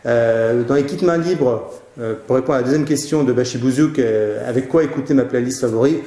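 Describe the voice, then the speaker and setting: A man's voice: mid-range voice, a little fast, mellow, reverberant. A man presenting a question-and-answer show, giving casual product advice in an informal, hesitant way.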